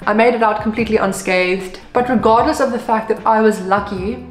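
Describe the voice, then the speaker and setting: A woman's voice: on the high side, brisk, reverberant, faint music behind. A woman in her twenties from South Africa with a South African accent, a native Afrikaans speaker, chatting upbeat to camera in a vlog. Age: 20s. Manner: chatty and upbeat, with frequent ums. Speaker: vlogger. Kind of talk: casual vlog talk to camera.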